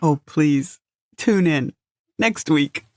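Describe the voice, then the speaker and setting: A woman's voice: deep voice, expressive, slow, a little mellow, no room sound, clean studio sound. A woman co-hosting a podcast in casual, conversational chat. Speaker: podcast co-host.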